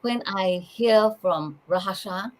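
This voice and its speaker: A woman from Sarawak in Malaysian Borneo with a Malaysian accent, a channeler talking softly and simply as the interview guest. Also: mid-range voice, slow pace, rough recording. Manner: soft-spoken, simple phrasing, light laughs. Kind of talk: casual interview.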